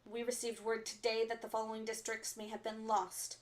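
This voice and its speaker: An actress playing a composed, stern resistance radio announcer, reading formal bulletins in a measured, official way for a radio drama. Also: female voice, fairly high pitch, monotone, natural voice. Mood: grave, official.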